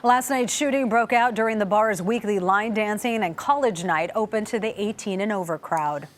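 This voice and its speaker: A woman's voice: medium pitch, normal speed. Woman anchor reading broadcast news.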